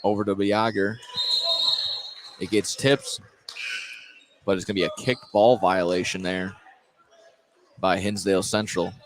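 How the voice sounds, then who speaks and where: Low-voiced man calling basketball, noisy gym. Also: fairly even intonation, a little slow, long pauses. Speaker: play-by-play announcer. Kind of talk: live basketball commentary. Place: indoor high school gym. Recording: noisy gym ambience, bouncing balls.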